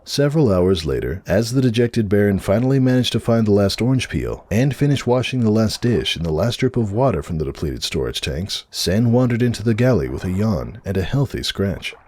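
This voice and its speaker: Deep-voiced, expressive male storyteller. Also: brisk, few pauses. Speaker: narrator. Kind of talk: dramatic story narration.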